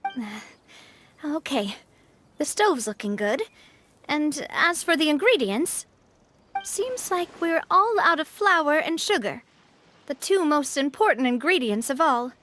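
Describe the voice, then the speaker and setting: A woman playing a gracious, upbeat young female boss in a video game, speaking in a polite, friendly and expressive way. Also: high-pitched, takes it slowly, pauses often, heavily acted. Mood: flustered, thoughtful. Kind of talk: animated video game voice acting. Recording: soft game music behind.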